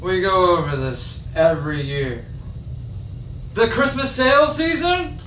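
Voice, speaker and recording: A man's voice: sits high, big pitch swings, unhurried, a bit bright, exaggerated voice. A man playing a glib, callous boss giving his staff a chirpy pep talk at an amateur table read. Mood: peppy, callous. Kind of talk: amateur table read of a dark comedy script. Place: home dining room, table read. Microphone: far camera. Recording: distant mic, echoey room, faint noise.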